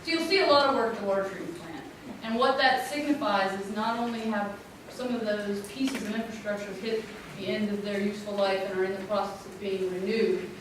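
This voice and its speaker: A woman with a loud, clear voice presenting a water infrastructure plan at a public community meeting. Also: medium pitch, steady tone, brisk, hardly any pauses. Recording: echoey room, distant mic, background noise.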